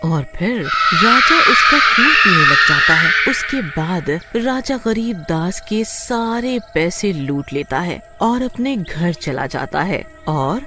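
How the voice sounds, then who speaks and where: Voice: medium pitch, animated, takes it slowly, few pauses. A storyteller giving a dramatic scripted narration of a horror tale. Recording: faint music behind the voice. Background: faint music.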